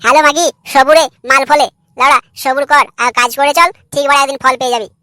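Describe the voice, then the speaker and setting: A man's voice: very high voice, steady tone, quick pace, pushed and bright, dry recording, clean studio sound. A man voicing a crude, irritable young husband in a comedy cartoon, brash, argumentative and foul-mouthed. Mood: boastful, insistent.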